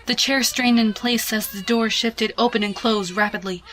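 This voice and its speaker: A woman giving a hushed, expressive, dramatic reading of a suspense story, with music behind her. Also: a little high, moderate pace. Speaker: fan fiction reader.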